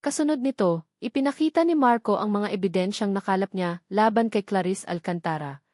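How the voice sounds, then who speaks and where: A woman's voice: mid-range voice, animated, fairly quick, a little mellow, no room sound. Woman narrating a dramatic story, dry sound.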